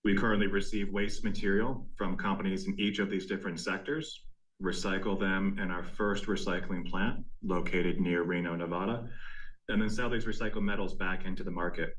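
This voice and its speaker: A man speaking as a company executive, delivering a prepared earnings presentation in a measured, formal way. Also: low-pitched, fairly even intonation, fairly bright tone, low-quality recording. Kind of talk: prepared corporate presentation, scripted narration.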